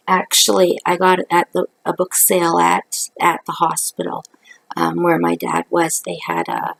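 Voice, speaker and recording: A middle-aged woman from Canada, hoarse from a cold, chatting casually through a craft haul video on her couch, with lots of ums. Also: on the low side, poor audio. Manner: rambling, chatty, many ums. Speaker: craft vlogger. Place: living room at night, on a couch. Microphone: webcam at close range.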